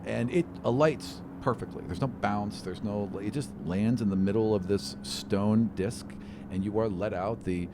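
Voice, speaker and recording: A man's voice: lowish voice, unhurried, background noise. A man narrating a tabletop game as game master, improvising in a conversational, enthusiastic way.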